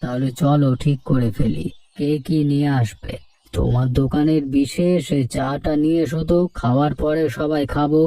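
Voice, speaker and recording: A man's voice: on the high side, fairly even intonation, moderate pace, dark tone, no room sound, natural voice, low-quality recording. A man voicing a jovial, sociable village family man in cartoon dialogue, chatty and familiar. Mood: eager.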